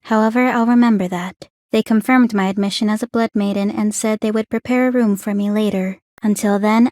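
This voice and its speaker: A woman's voice: mid-range voice, darkish tone, no room sound, studio quality, close to an everyday voice. A woman playing an aloof, bookish young warrior maiden in a video game, speaking in a composed, formal, precise and detached way. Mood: cool, curious. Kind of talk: English video game voice acting.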